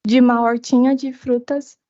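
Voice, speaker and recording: A woman's voice: a little high, level tone, a little fast, dark tone. A teenage girl, a native Portuguese speaker, giving testimony as a student in short phrases. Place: committee hearing. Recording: dull, muffled audio.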